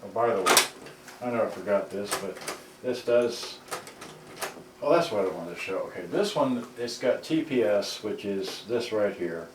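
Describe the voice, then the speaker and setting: A man giving a casual, unscripted demonstration, talking himself through it step by step in a halting way. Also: on the low side, expressive, background noise. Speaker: repairer demonstrating serviced cassette players. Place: indoors in a house. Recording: roomy, distant mic, loud music behind.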